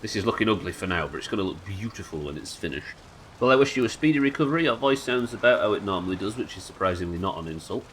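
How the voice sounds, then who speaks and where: A man with a nasal voice, a little hoarse from illness, chatting wryly and swearing casually while streaming a game live. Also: lowish voice, expressive, fast, fairly bright tone, slight background noise. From United Kingdom. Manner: chatty, wry, swears casually, muttering asides. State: sick, slightly hoarse.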